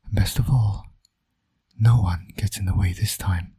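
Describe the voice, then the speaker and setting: Guy doing a teasing villain daddy for romance audio, lowish voice, super husky and breathy, almost whispery, smug and intimate. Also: fairly even intonation, a little slow, warm tone, exaggerated voice. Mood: seductive, smug. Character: teasing flirtatious male villain, doting new father. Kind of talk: romantic roleplay audio acting.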